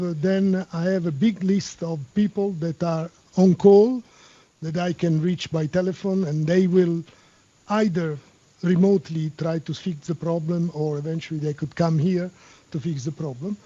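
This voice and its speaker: Italian man, high breathy mellow voice, halting English, muffled webcast sound. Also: fairly even intonation. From Italy. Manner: halting, searching for words in English. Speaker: shift crew member at a physics experiment. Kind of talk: live interview on a tour. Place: experiment control room.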